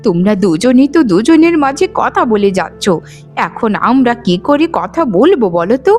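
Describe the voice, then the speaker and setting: Casting: woman to voice gentle, conciliatory cartoon daughter-in-law, high voice with lively swings, music behind. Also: moderate pace. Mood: patient, pleading. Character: patient, kind elder daughter-in-law and soft-spoken mother-in-law. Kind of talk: cartoon moral-story dialogue. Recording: music under the voice.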